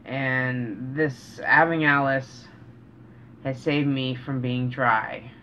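Slow, halting middle-aged man vlogging. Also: medium pitch, fairly even intonation, pushed and bright. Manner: earnest, halting, pausing mid-sentence. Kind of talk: casual, reflective vlog talk. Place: small cluttered room indoors. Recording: dull, noisy home recording.

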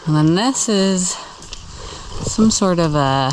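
A woman's voice: lowish voice, animated, slow, darkish tone. An American woman, around her thirties, chatting casually and self-deprecatingly as a vlogger, recorded outdoors on a camera microphone. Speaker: sailing vlogger. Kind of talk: casual chat. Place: outdoors on open water and shoreline. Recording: outdoor camera audio with clear background noise.

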